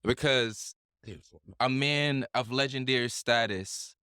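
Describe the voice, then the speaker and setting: A man's voice: mid-range voice, slow, sharp tone, clear, resonant tone, no echo, clean studio sound. A man hosting a casual podcast, talking in emphatic slang with frequent swearing. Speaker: podcast host. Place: indoor lounge smoke shop.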